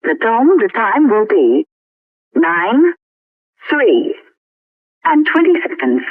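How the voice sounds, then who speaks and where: A woman announcing the time as a recorded speaking clock, in a measured, formulaic delivery over a telephone line. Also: a little high, huge pitch swings, slightly rough, no room sound. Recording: thin, phone-like time-signal tape.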